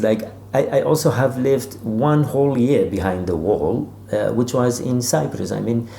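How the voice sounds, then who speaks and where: In a roomy, echoing space with faint noise, a man talks informally as an author being interviewed. His voice is soft-edged and mellow, and he feels his way through sentences, doubling back on words. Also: mid-range voice. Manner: halting, searching delivery with repeated words. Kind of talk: informal literary discussion.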